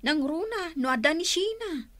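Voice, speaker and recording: An exasperated, dutiful daughter in a radio drama. Her high voice swings widely in pitch as she questions and frets. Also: animated, normal speed, fairly bright tone, no room sound. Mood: exasperated, scolding. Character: exasperated, dutiful grown daughter and concerned sister.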